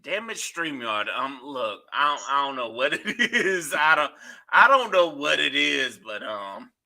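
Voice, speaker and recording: A man's voice: a little high, very animated, a little slow, gravelly, sharp tone. A man hosting a podcast, talking loosely in casual chat and swearing freely. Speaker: podcast host.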